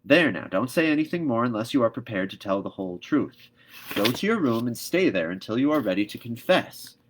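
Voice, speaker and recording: A man's voice: medium pitch, sing-song, fairly quick, pitched above the performer's own voice, poor audio. A man voicing a stern, no-nonsense woman guardian in a storybook, with a firm, scolding manner. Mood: sharp, accusing.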